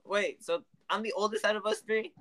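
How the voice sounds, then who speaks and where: A young man in his twenties jokes around in a casual podcast chat, full of slang. His voice is high and bright. He keeps a loose, playful tone with his friends. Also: steady tone, fairly quick, rough recording. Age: 20s. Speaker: podcast co-host.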